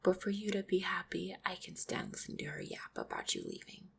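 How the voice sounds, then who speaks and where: Woman doing a soft orc lady fiancee for roleplay audio, deep for a girl, lots of fry, breathy near whisper, sweet n teasing. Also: huge pitch swings, fairly quick, heavily acted. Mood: playfully supportive. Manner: tender, reassuring, gently teasing. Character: affectionate, supportive orc woman soothing her fiancé. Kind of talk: intimate roleplay audio acting.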